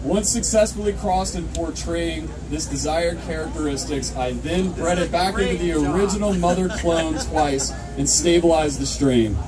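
A man's voice: fairly high pitch, moderate pace, hardly any pauses. A young man emceeing a contest at an outdoor fair, reading entry notes into a handheld microphone over a PA, then rallying the crowd. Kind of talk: announcing at an outdoor event. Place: outdoor fair under a canopy tent. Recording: distant camera mic, noisy crowd. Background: crowd chatter.

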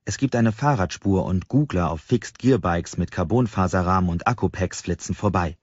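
Husky low-voiced man reading an audiobook. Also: normal speed. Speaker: audiobook narrator. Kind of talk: scripted first-person novel narration. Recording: slightly dull, roomy sound.

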